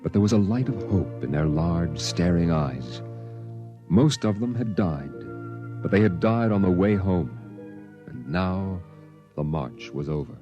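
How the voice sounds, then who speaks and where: Grave narrator, deep voice, measured, somber, 1950s radio drama, prominent music behind. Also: male voice, animated, muffled recording. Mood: grave, mournful. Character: somber man recalling a Martian exodus.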